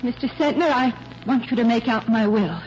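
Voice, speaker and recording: An actress playing an anxious, secretive young heiress in a 1940s radio drama, pleading with urgency. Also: female voice, a little high, moderate pace, close to an everyday voice. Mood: pleading, insistent. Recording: muffled vintage radio recording, faint hiss.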